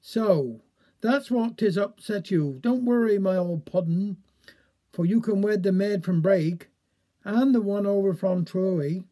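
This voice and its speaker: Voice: medium pitch, measured pace, dark tone, natural voice. A reader doing a teasing mum. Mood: reassuring. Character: fond, laughing Cornish mother.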